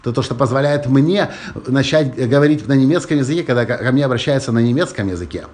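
Brief: male podcast host, Russian-Ukrainian accent, emphatic motivational monologue, medium pitch. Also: fast talker, a little mellow. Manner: emphatic, repeats key phrases, small restarts.

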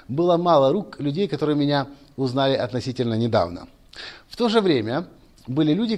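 A man's voice: a little high, a little mellow. A man speaking straight to camera as a motivational speaker, earnest and lecturing, often restarting his sentences. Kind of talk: direct-to-camera monologue.

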